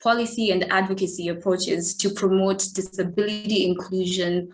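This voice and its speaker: A woman's voice: mid-range voice, steady tone, moderate pace, low-quality recording. A woman from Malawi moderating a formal online event, welcoming the audience and reading her introduction.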